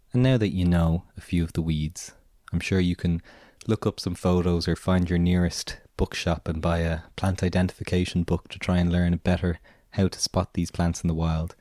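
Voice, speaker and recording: A raspy Irish man, hoarse from a cold, talks through his podcast in a deep, mellow voice. He keeps a flat, even tone and meanders through his points with plenty of filler words, recorded close and dry. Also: dry recording. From Ireland. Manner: relaxed, meandering, many "kind of" fillers. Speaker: podcast host and gardener. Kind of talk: casual spoken monologue.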